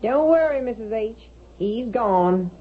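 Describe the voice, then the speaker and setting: An actress playing a loyal, kindly household companion in a radio drama, chatty and expressive, with a husky voice. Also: female voice, fairly high pitch, unhurried, soft background music. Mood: reassuring. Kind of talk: 1970s radio drama acting. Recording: muffled, lo-fi vintage radio recording.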